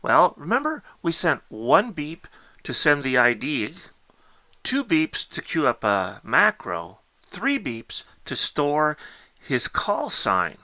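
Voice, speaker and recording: A man's voice: on the high side, wildly swooping pitch, takes it slowly, pushed and bright, dry recording. A man narrating a step-by-step technical tutorial, explaining things directly to the learner as an instructor. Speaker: instructor demonstrating a Morse code keyer.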